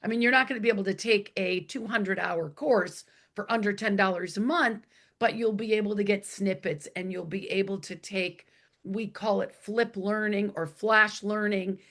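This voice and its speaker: A woman with a hoarse voice and a middle-range pitch talks as a guest expert in an interview. She explains things in plain, emphatic language and conversational phrasing. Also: fairly even intonation, moderate pace, a bit bright. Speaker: interview guest, author and executive coach.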